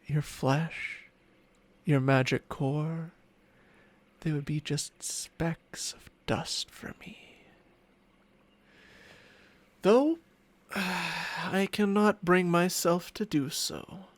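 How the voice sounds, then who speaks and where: A man's voice: on the high side, very slow, pauses often. A man playing a smug, possessive ancient dragon in human form, teasing and condescending in an intimate ASMR roleplay with formal, archaic phrasing. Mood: menacing, musing. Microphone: close microphone, spatial ASMR recording.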